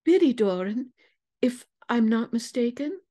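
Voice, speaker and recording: A woman's voice: fairly high pitch, animated, a little slow, dark tone, voice pushed up. A woman voicing a confident, clever young woman detective in an audiobook story, articulate, exclamatory and commanding. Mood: surprised, stern.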